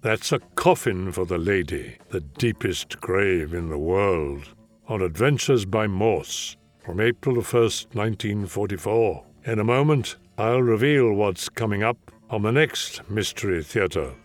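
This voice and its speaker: A man hosting a radio show, reading scripted announcements in a measured, formal way. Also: fairly low pitch, soft background music.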